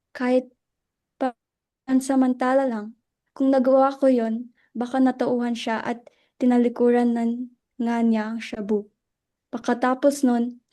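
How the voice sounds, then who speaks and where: Woman acting a guilt-ridden widow, mellow and high. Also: natural voice. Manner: halting, repeats phrases in anguish. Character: guilt-ridden grieving widow, remorseful, childless wife Luella. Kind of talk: dramatic stage reading in Tagalog.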